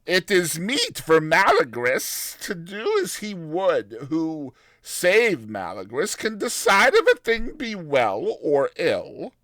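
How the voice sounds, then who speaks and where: A man voicing a sly talking viper in a slow, measured hiss for a dramatized fantasy story. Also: on the high side, wildly swooping pitch, a bit bright, no echo, pitched above the performer's own voice, exaggerated voice. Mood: measured, deferential. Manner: slow, measured hissing delivery.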